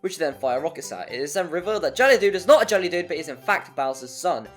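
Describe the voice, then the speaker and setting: An informal narrator talks through a scripted video essay at a medium pitch. The delivery is jokey and wanders into casual asides. Music plays behind the voice. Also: animated, fast talker. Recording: music under the voice. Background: background music.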